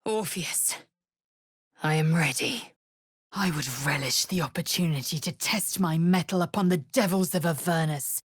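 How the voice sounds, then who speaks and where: A woman playing a fierce, proud alien warrior in a video game, speaking in a haughty, clipped, formal manner with a husky edge. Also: low voice, moderate pace, dry recording, studio quality. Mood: commanding. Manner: imperious, clipped, formal phrasing. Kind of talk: video game character acting.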